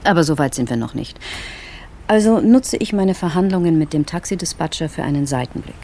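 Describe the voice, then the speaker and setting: An actor playing a self-absorbed, fastidious traveller in a radio drama monologue, coolly sizing people up. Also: male voice, fairly high pitch, animated, a little mellow, a little hiss or hum. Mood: appraising.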